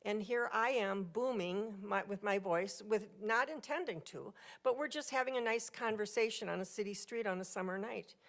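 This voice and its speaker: A woman speaking at a podium microphone in council chambers, telling a personal story during public comment in a loud, chatty voice. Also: mid-range voice. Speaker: resident speaking at public hearing.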